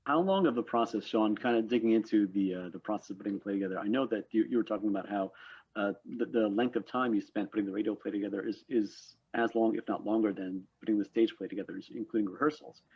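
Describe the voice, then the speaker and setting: Male discussion host. Rough-edged, husky tone, wordy hesitant questions, muffled lo-fi sound. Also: lowish voice, fast talker. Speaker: panel host. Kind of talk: conversational interviewing.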